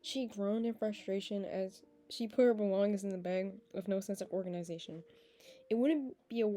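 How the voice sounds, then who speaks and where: A woman's voice: mid-range voice, normal speed, warm tone. A nineteen-year-old woman reading a visual novel aloud, breaking off into chatty, laughing tangents. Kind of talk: game playthrough with casual asides.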